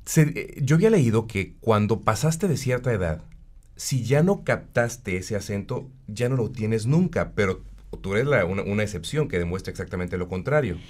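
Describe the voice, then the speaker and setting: Low-voiced man hosting morning radio. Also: moderate pace. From Tijuana, Mexico. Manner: animated, stumbling restarts. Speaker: radio host. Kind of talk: radio interview.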